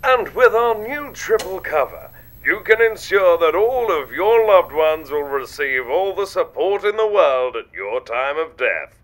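Man as a slow, swooping advert announcer. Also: a little high, sing-song, a bit bright. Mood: salesy. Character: smooth-selling male advert announcer. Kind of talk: spoof commercial pitch.

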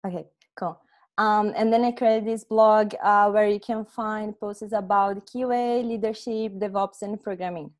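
A young woman from Brazil speaks with a Brazilian accent at an average pitch. She presents informally on a video call, pausing often in a conversational way through an earphone headset. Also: steady tone. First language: Portuguese. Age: young adult. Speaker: presenter, software testing specialist. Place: small room at home, indoors.

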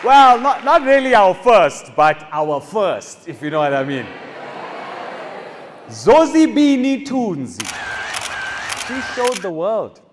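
A South African comedian in his thirties, talking in a high and very lively voice. He acts out characters into a handheld mic. A long theatre reverb and a faint crowd sit around him. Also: slow pace, long pauses, a little hiss or hum. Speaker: stand-up comedian. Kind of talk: stand-up comedy. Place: large theatre stage. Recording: live hall with audience.

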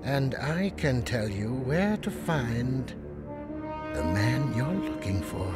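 A man playing a sly, insolent, greedy lowlife informant in a space-opera audio drama. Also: big pitch swings, slow pace, over loud music. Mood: sly, wheedling.

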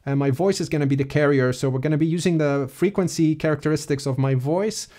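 A man giving a casual, explanatory software walkthrough as a music production tutorial presenter. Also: fairly high pitch, quick pace.

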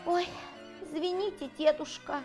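A little frog girl from a storybook, acted by a woman, shy and lonely. Her voice is high and plaintive, with timid, expressive phrasing. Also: measured pace, soft background music. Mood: sad, timid. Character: small, shy, lonely young female frog.

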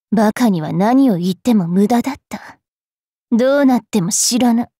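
A woman playing a haughty, cruel young fairy priestess in an anime game, sneering and taunting with a rough mouth. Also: on the high side, warm tone, no room sound, studio quality. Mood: smug threatening.

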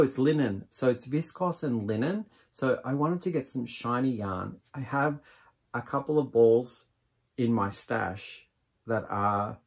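A man in his forties giving a casual product review to camera, unhurried and musing as he thinks aloud. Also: medium pitch, slightly rough. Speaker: yarn vlogger, host. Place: small indoor craft room with shelves of yarn.

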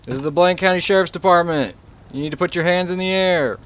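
Male, slightly high voice, commanding sheriff giving step-by-step orders in game role-play. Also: quick pace, background noise.

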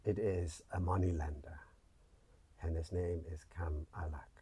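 Grave wary storybook horseman, low slow voice, husky tone, as a man plays him. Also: fairly even intonation, mellow, full character voice.

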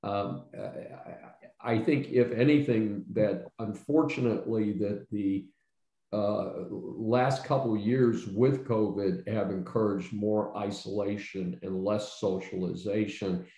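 A man's voice: fairly low pitch, steady tone, slow, dark tone, reverberant. An older man hosting a casual panel discussion over a video call from his home study, rambling with many fillers and restarts. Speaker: host. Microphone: video call webcam.

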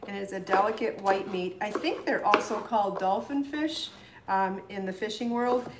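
A woman's voice: medium pitch, normal speed. A middle-aged woman hosting a live cooking demonstration in her home kitchen, chatting and explaining as she chops, recorded by a fixed camera across the counter. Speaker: cooking show host. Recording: echoey kitchen with chopping noise. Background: knife chopping on a cutting board.

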